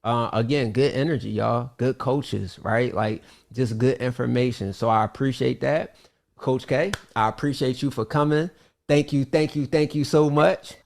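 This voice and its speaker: A man's voice: medium pitch, moderate pace, vocal fry, a little mellow. A man hosting a live online class, thanking a guest and rallying the audience with loose, rambling hype. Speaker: host.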